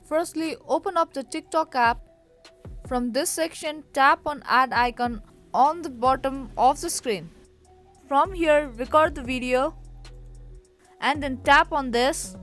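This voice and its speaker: High-pitched woman narrating a tutorial. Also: a bit bright. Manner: instructional, step-by-step. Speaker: tutorial host. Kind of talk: scripted how-to narration. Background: faint music.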